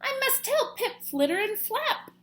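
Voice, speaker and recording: A woman voicing a naive, earnest young female bat in a children's storybook, expressive and full of exclamation. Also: extremely high pitch, a little slow, pitched above the performer's own voice, exaggerated voice. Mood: excited.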